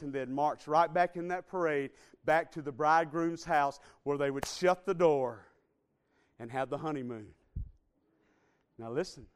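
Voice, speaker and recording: Male preacher, high clear resonant voice, surges loud then drops to hushed pleas. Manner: swings between loud shouted build-ups and soft low appeals. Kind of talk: sermon.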